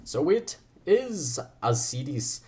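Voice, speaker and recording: A man's voice: a little high, very animated, very slow, dark tone, a little hiss or hum, voice pushed up, full character voice. A man playing a proud foreign prince wooing a lady, declaiming Shakespeare grandly in an amateur stage reading. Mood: bombastic boasting.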